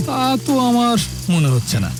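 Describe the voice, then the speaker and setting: An actor playing a haunted, obsessive well-off man in a Bengali audio drama, halting and repeating his words dramatically. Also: extremely high pitch, wildly swooping pitch, over music, heavily acted. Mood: mocking, imperious.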